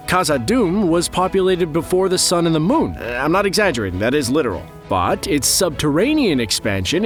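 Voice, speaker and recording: A man narrating a scripted explainer in a wry, playful, conversational way, with joking asides. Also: on the high side. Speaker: presenter of a fantasy lore explainer video.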